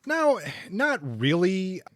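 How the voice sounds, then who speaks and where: A middle-aged man co-hosting a book podcast, talking casually in a halting, hesitant way with measured judgments. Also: sits high, sing-song, fairly bright tone, no room sound, studio quality.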